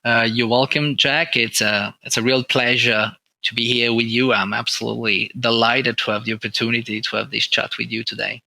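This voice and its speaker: A man's voice: medium pitch, flat delivery, moderate pace, gravelly, sharp tone, no room sound. An Italian-accented man, an oncologist guest, answering interview questions in a hesitant, conversational way, middle-aged. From Italy. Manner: hesitant, many fillers and restarts.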